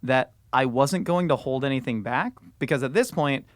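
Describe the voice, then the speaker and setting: A man in his thirties hosts a casual podcast chat, telling stories in an animated way and laughing often. His pitch sits in the middle range. The mic sound is close and dry. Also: dry recording. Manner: animated storyteller, laughs often, drawn-out words. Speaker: podcast host.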